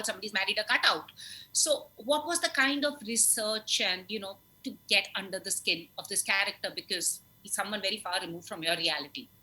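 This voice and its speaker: A woman's voice: medium pitch, pushed and bright, rough recording. A woman with an Indian English accent, hosting an interview, asking questions in an easy conversational way and dropping in Hindi phrases. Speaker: interview host.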